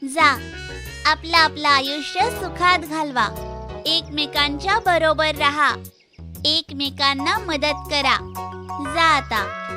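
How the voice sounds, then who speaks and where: A woman's voice: high voice, slow, pauses often, over music. A woman playing a caring but firm mother pig in a children's cartoon story, instructing earnestly.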